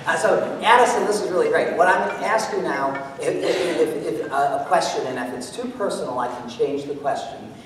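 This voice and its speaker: A man's voice: on the high side, brisk, few pauses, background noise. Middle-aged showman in a very echoey hall. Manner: showman-like, playful, theatrical. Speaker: stage presenter doing a maths magic show. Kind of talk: live stage show patter. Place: auditorium stage. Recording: echoey hall recorded from far off.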